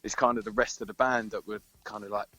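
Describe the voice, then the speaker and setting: A man's voice: fairly low pitch, fairly even intonation, fast talker, sharp tone. A British man chatting casually as a singer-songwriter in a radio interview, with a hesitant, rambling, informal manner.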